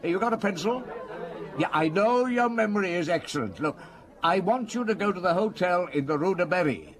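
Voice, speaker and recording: Radio drama part: bossy, distracted police boss, high animated voice, background chatter. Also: male voice, a little fast. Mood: impatient, commanding. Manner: abrupt, distracted, commanding. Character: impatient, bossy male police chief giving orders.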